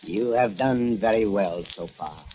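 An actor playing a scheming crime boss who gives orders in a smooth, commanding way, in 1940s radio acting. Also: male voice, fairly low pitch, measured pace, a little hiss or hum, natural voice. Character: scheming male crime boss called the Octopus. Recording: muffled old radio recording.

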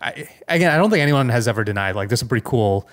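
A man's voice: mid-range voice, expressive, fast. A man co-hosting a podcast in a casual, rambling tech chat, informal and full of loose asides. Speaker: podcast co-host.

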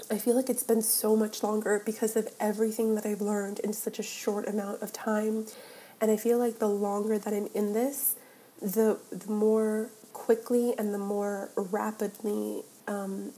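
A woman's voice: mid-range voice, mellow, poor audio. A young woman hosting a solo podcast, nasal and congested from a cold, talking earnestly with many fillers and restarts. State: stuffy from a cold. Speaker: podcast host. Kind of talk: solo casual podcast talk.

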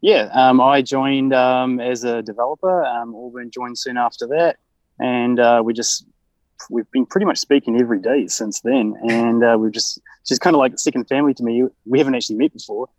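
A man's voice: mid-range voice, moderate pace, darkish tone, no room sound, low-quality recording. Man, New Zealand accent, developer guest, polite, hesitant, dry sound. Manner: polite, hesitant, many fillers. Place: Japan, remote call.

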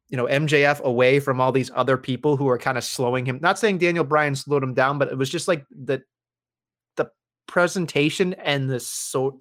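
A man co-hosting a casual podcast, ranting in an animated way with constant swearing. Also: medium pitch. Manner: profane, animated, rambling rants. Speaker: podcast co-host.

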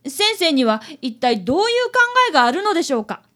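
A woman playing an excitable, timid boy of about eleven, a detective's apprentice. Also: sits high, brisk. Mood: earnest. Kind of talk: radio drama acting and narration.

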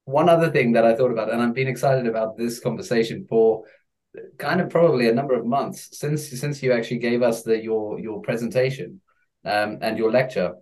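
A man hosting a podcast, chatting loosely with a guest and pausing on fillers as he thinks. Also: medium pitch, a little mellow, poor audio. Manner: hesitant, with many fillers and quick laughs. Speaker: podcast host. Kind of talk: casual interview chat.

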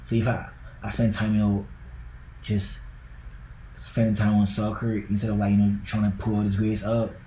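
A young Ghanaian man chats on a podcast in a low, mellow voice that stays fairly flat. His talk rambles, packed with likes and bros. The room echoes around him, with a faint hum behind. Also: moderate pace, pauses often. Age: young adult. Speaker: podcast co-host. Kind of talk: casual podcast chat.

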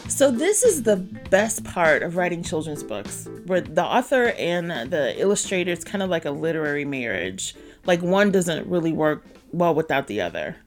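A woman's voice: fairly low pitch, sing-song, moderate pace, faint music behind. A woman talking conversationally in an interview as a children's author.

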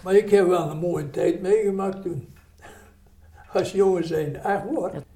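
Elderly Brabant man, very mellow voice. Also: sits high, slow, roomy sound. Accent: Brabant Dutch. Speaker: eyewitness recalling wartime childhood. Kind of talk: informal reminiscence.

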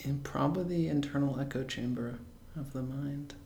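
Dry young man with a gravelly voice. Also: mid-range voice, normal speed, warm tone, slight background noise. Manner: dry, laid-back, matter-of-fact. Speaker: podcast co-host. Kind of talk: casual chat. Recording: roomy echo.

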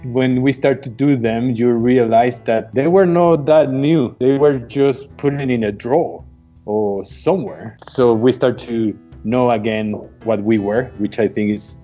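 A man from Mexico whose first language is Spanish, speaking English in a relaxed, reflective conversation with frequent pauses and fillers. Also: mid-range voice, muffled recording, soft background music. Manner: hesitant, many uh fillers, reflective. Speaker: guest. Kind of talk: casual remote conversation.

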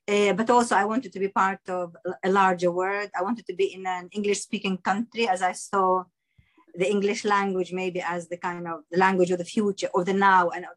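Woman panel guest, a filmmaker from Russia and Palestine, reflective and unhurried. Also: medium pitch, fairly bright tone, rough recording. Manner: thoughtful, hesitant with fillers. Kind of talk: panel discussion.

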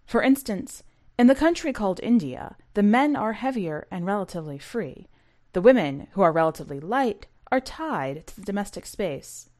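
A woman narrating a short story for a podcast in a measured, precise, scripted audiobook style. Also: on the low side.

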